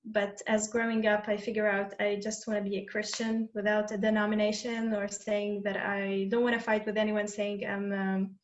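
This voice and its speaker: Woman with a flat, halting voice. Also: medium pitch, echoey room, highs cut off, slight background noise. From Palestine. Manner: thoughtful, halting with fillers. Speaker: panel guest. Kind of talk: panel discussion answer.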